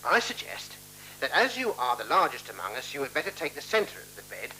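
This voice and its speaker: Timid pedantic gentleman, bright lively voice, halting formal phrasing, 1970s radio drama, faint hum and noise. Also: mid-range voice, full character voice. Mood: polite, precise. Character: timid, literal-minded, polite man sharing a dark lodging room. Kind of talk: 1970s radio play acting. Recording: noisy, humming 1976 radio recording.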